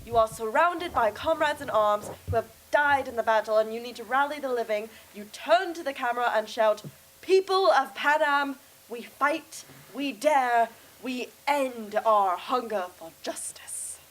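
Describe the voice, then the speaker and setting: A woman playing a crisp, directive film crew leader in an amateur radio drama. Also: fairly high pitch, expressive, unhurried, poor audio. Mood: directing.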